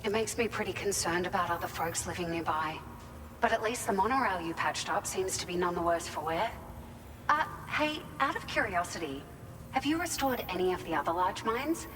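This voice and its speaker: A caring, chatty facility worker played by an actress in a video game. Her voice is a little low for a woman. It carries long reverb with clear noise behind it. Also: animated, moderate pace, lightly acted. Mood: concerned, chatty. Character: warm-hearted, concerned facility worker receiving cargo. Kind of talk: video game dialogue, conversational.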